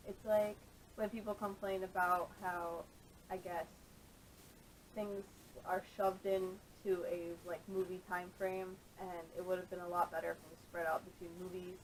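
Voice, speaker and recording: Woman, fairly low voice, casual podcast chat, tentative hedging, camera audio, faint rumble. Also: flat delivery, moderate pace, rough recording. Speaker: podcast panelist. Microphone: camera microphone.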